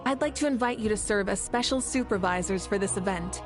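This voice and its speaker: A woman's voice: mid-range voice, fairly quick, natural voice. A woman voicing a confident female leader of a nation, assured and commanding in a friendly way. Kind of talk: game voice acting. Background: game music.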